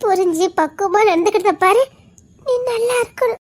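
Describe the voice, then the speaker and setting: An actress playing a gentle, forgiving middle-aged mother who soothes a crying young woman. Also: very high voice, moderate pace. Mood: soothing. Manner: soft, soothing. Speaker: neighbour, the boy's mother.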